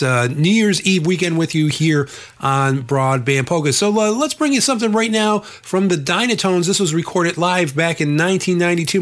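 Congested nasal male radio host. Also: on the high side. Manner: relaxed, filler-heavy, hesitant delivery. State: congested, nasal from a respiratory illness. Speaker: polka radio show host. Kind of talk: radio DJ patter.

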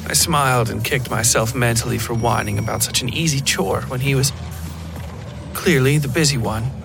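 A man narrates a scripted horror tale for a fiction podcast. His pitch is average for a male voice, and music plays clearly underneath. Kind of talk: scripted first-person horror story narration.

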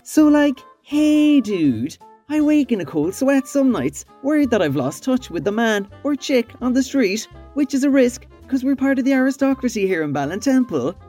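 A woman voices a pretentious young snob in a comedy sketch. The voice is fairly high and mellow, drawling to sound cool over faint music. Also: sing-song, moderate pace. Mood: earnest, snobbish. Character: posh, clueless young Cork snob playing hip. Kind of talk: scripted comedy monologue.

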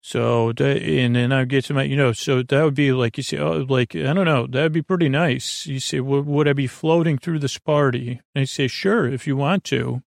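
A man hosting a bedtime podcast in a meandering, halting monologue, trailing off mid-sentence and gently reassuring the listener. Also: medium pitch, fairly even intonation, creaky, dry recording, clean studio sound. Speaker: podcast host.

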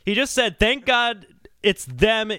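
A male podcast host talks in a high, bright voice that swings up and down as he explains. He is sardonic and emphatic, casually dropping swear words, and the sound is dry. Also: expressive, slow pace, dry recording.

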